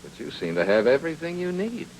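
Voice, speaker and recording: An actor playing a tough, sardonic private investigator in a noir radio drama, talking in terse slangy patter. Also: male voice, on the high side, huge pitch swings, fast, slight background noise, poor audio. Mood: cool, sardonic.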